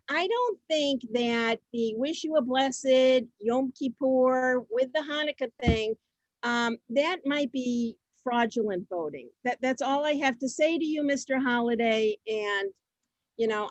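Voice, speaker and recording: A woman's voice: high-pitched, dry recording, muffled recording. A woman hosting an amateur comic readers' theater, moving between scripted lines and ad-libs. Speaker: host of a comic contest.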